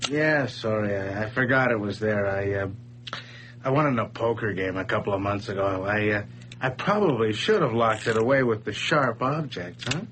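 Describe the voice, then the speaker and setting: An actor playing Larry, a reassuring friend with a dry, joking manner, in an old radio drama. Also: male voice, lowish voice, moderate pace, noisy background, close to an everyday voice. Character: wisecracking man Larry, loyal old buddy.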